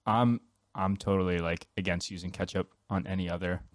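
A man's voice: low-pitched, steady tone, a little fast, no echo, clean studio sound. A man co-hosting a podcast, chatting casually and very informally. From grew up partly in Finland. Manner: informal, peppers speech with "like". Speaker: podcast co-host. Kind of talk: casual conversational chat.

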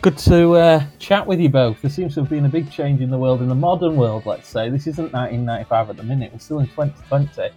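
A man's voice: medium pitch, fast, hardly any pauses, warm tone, slightly rough, highs cut off, faint music behind. Male host, thirties, chatty and jokey, remote podcast. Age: 30s. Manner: jokey, laughs often, rambling. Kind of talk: casual chat. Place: home, recording remotely.

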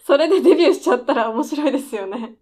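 Radio drama role: would-be female screenwriter at the end of her rope, higher-pitched, needling and defiant. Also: expressive, quick pace, a little mellow. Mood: eerily flippant. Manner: needling, intense, provocative polite speech. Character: desperate, defiant, lazy aspiring female scriptwriter. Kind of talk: radio drama dialogue acting.

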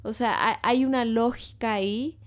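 A woman explaining things in casual conversation, pausing with hums as she searches for words. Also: fairly high pitch, steady tone. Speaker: panelist, learner of Japanese.

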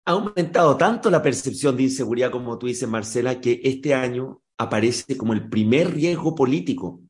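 A man as an expert guest, giving measured, explanatory answers in an interview. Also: mid-range voice, lively intonation. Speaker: political risk analyst.

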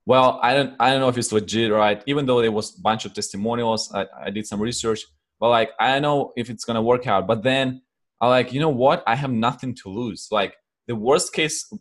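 A man from Ukraine with a Ukrainian accent, answering interview questions in a reflective way, often restarting and rephrasing. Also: lowish voice. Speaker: guest.

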